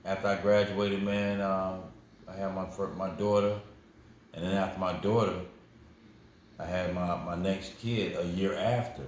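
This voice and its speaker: A man's voice: low-pitched, little pitch movement, unhurried. A man in his forties telling his life story in a casual interview, rambling and repeating words, proud when he talks about his family. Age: 40s. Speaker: guest. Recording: very echoey room with faint noise.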